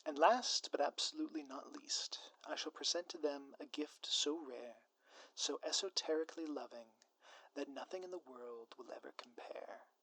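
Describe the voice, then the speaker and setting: A man's voice: fairly high pitch, normal speed, fairly bright tone, no room sound, low-quality recording. Theatrical villain played by a man, close and dry. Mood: whispered scheming. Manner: grandiloquent, theatrical, florid formal wording. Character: theatrical male supervillain, scheming, secretly lovestruck doting spouse. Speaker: audio roleplay performer. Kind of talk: scripted ASMR roleplay, direct address to listener.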